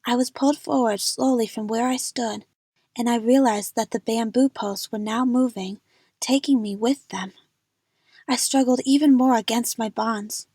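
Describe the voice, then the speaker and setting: A woman narrates a dramatic first-person story for an amateur audio drama. Her voice is fairly high with a husky, breathy edge, recorded close and dry. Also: normal speed, a little mellow, no echo.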